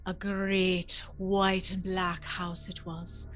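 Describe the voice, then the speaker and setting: A woman's voice: fairly low pitch, monotone, very slow, a bit bright, soft background music. An actress playing a timid, polite young servant girl in an audio drama, earnest and anxious, with old-fashioned phrasing. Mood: hushed storytelling. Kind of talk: audio drama acting and storytelling.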